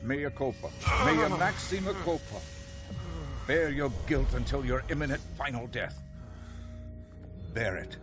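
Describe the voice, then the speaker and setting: An actor playing a stern, zealous priest interrogator in a video game, solemn, deliberate and condescending. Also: male voice, medium pitch, close to an everyday voice. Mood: zealous, chanting. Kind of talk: video game cutscene acting. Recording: voice under loud dramatic game music.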